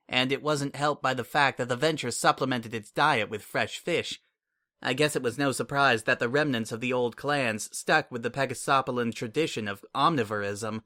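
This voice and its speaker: A man reading a fantasy story aloud in a relaxed, conversational first-person narration. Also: mid-range voice. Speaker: story reader. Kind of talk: dramatic audiobook narration.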